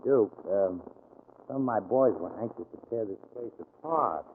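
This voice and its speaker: A man plays a smooth, mock-courteous American crime boss. His lowish voice swings expressively while it threatens. The old radio drama recording is thin and tinny. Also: lively intonation, normal speed, a little hiss or hum, lightly acted. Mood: cool menace. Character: suave, menacing gangster boss, coolly polite.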